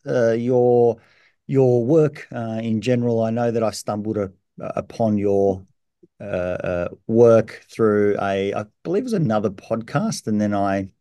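A man's voice: on the low side, unhurried, warm tone, clean tone, no echo. A man hosting a podcast, asking interview questions over a video call, hesitant with many fillers and repeated words. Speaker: podcast host. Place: indoor room.

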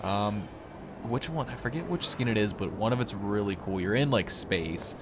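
A man's voice: lowish voice, expressive, moderate pace, background noise. A young man hosting a gaming video, giving casual, rambling showcase commentary in an informal, easily impressed way. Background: game music.

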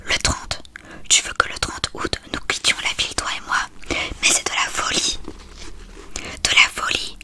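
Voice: normal speed, long pauses, sharp tone. A reader as a smitten whispering male writer. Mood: alarmed. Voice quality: breathy whisper.

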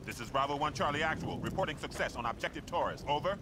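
A man's voice: on the high side, animated, fast, natural voice. An actor playing a weary, short-tempered army sergeant who leads his squad curtly in a video game. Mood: commanding. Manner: curt, exasperated. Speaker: squad leader. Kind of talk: video game military drama. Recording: helicopter and battlefield noise behind.

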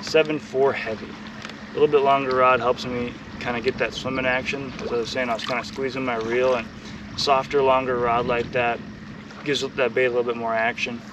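A young man talking through his fishing technique while he sits in a kayak out on a lake. He explains in a relaxed, easygoing way, his voice at a middle pitch. It is picked up by an action camera a little way off, so his voice sounds distant, and there is clear wind and water noise behind him. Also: normal speed. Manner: relaxed explaining, bursts of excited shouting when fish bite. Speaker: fishing host. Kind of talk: casual on-the-water instruction. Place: kayak on a windy lake outdoors.

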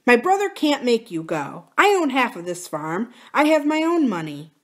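A woman's voice: on the high side, sing-song, normal speed, pitched above the performer's own voice. Woman playing earnest, tender young farmer man. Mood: protective. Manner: eager, tender, reassuring. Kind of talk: audiobook character dialogue.

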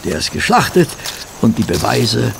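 Knarzender, gutmütiger Doktor. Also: male voice, mid-range voice, very animated, normal speed, vocal fry, noisy background. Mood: mildly chiding. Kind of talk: radio play acting. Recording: outdoor insect and animal ambience behind.

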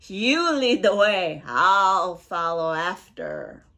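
A woman's voice: lowish voice, very animated, extremely slow, pushed and bright, voice pushed down, full character voice. A woman voicing a big, scary but gullible male monster from a children's storybook, by turns blustering and doubtful. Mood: mocking.

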